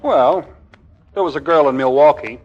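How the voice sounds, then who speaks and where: An actor playing a bashful, flustered young army lieutenant who hesitates and stammers, in a 1940s radio comedy. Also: male voice, a little high, moderate pace, muffled recording, faint music behind, close to an everyday voice. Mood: nervous. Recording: 1940s radio broadcast recording.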